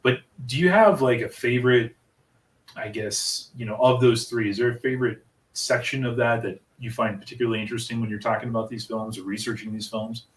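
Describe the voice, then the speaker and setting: A man's voice: mid-range voice, steady tone, brisk, recorded from a distance. An American man in his thirties hosting a casual interview, chatty and rambling. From United States. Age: 30s. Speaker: interview host. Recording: echoey room, away from the mic.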